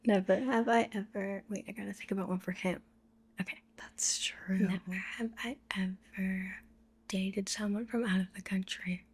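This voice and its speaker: A woman speaks in a soft, breathy whisper with a husky edge. She improvises a casual ASMR roleplay, with playful, teasing banter between sisters. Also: medium pitch, fairly even intonation, moderate pace.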